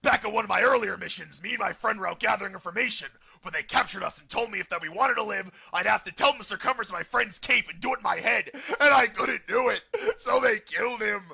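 Casting: menacing cartoon drill-sergeant proctor, very raspy, piercing, sarcastic bully. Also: male voice, high voice, fast, few pauses, sharp tone, exaggerated voice. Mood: ranting. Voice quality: gravelly, raspy. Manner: barking, theatrical, sarcastic. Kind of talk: comic anime parody dub acting.

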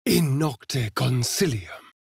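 A flamboyant, sardonic vampire aristocrat from a fantasy video game, with an English accent. His delivery is theatrical and drawling, leaping up and down in pitch with arch relish. It is recorded close and dry, at an unhurried pace. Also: lively intonation, vocal fry, husky, no room sound, full character voice. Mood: incanting.

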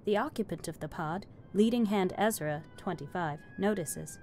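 A woman's voice: on the low side, moderate pace, a little mellow. Woman narrating a script over music. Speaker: narrator reading stage directions.

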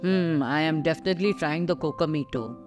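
Woman, middle-aged, Indian English accent, scripted food vlog voice-over, measured, enthusiastic, music underneath. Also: sits low. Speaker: food vlogger host. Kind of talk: scripted voice-over narration of a restaurant visit. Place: voice-over recorded separately from the restaurant scenes. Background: background music under the narration.

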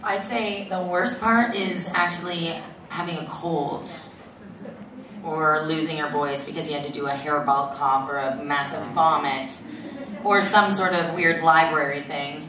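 A woman voice actress with a gravelly voice, chatting on a convention panel. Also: low-pitched, steady tone, a little slow. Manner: chatty, laughing often. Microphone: handheld mic, far camera. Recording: echoey hall, distant camera, crowd noise.

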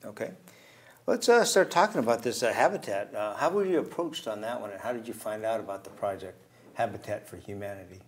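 A man's voice: on the low side, lively intonation, a little fast. An older man hosting a community TV interview in a studio, asking questions with short friendly affirmations. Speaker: TV show host. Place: small TV studio.

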